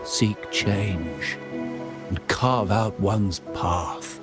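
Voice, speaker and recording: An actor playing a contemplative, cultured gentleman in a video game, speaking in a deliberate, philosophical manner. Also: fairly low pitch. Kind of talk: scripted game dialogue acting. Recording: loud music behind, rain sounds.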